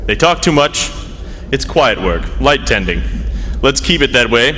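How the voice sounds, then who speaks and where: A man acting a big, gruff head lighthouse keeper who dislikes people, curt and stern, in a radio play. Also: medium pitch, sing-song, a little slow, bright, forceful tone, in a large hall, a little hiss or hum, low-quality recording. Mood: stern, irritated.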